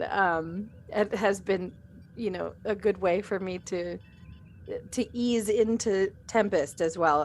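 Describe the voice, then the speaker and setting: Woman, middle-aged, audio drama cast member. Giggly, self-deprecating, informal chat, faintly noisy recording. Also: medium pitch. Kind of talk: casual panel chat.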